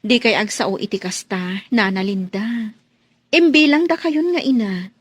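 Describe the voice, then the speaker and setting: A woman's voice: mid-range voice, unhurried, dry recording, natural voice. A woman playing a caring, dutiful young housemaid in a radio drama, earnest and soothing. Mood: reassuring.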